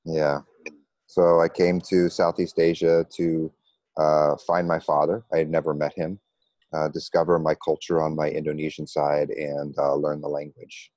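A middle-aged man with an American accent, telling his personal story conversationally with a halting, pause-filled delivery. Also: very deep voice, monotone, darkish tone, no room sound, dull audio. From United States. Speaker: interview guest, meditation coach.

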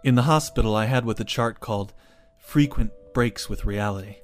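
A man's voice: fairly low pitch, normal speed, soft background music. A man reading his own first-person short story as dramatic scripted narration.

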